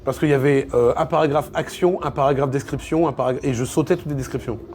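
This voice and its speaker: A man's voice: mid-range voice, quick pace, a little mellow, slight background noise. A man talking casually as an interview guest, opinionated and colloquial, with emphatic repetitions. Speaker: interview guest, literature video creator.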